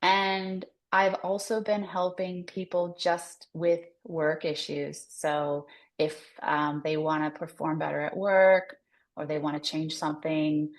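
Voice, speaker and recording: An American woman with a low-pitched voice talks as a career coach being interviewed. She explains things conversationally, softening her points with loose, informal phrasing. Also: level tone, slow. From United States. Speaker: career coach, podcast guest.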